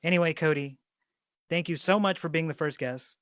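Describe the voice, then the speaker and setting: A man's voice: on the high side, moderate pace, no echo, clean studio sound. A man hosting a podcast in a casual, informal way, with plenty of swearing. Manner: informal, profanity-laced. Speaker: podcast host and interviewer.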